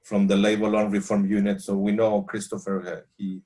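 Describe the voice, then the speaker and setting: A man's voice: lowish voice, completely flat delivery, a little fast, dark tone. A man with a Chilean Spanish accent introducing himself informally on a video call. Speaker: meeting participant, labour law specialist.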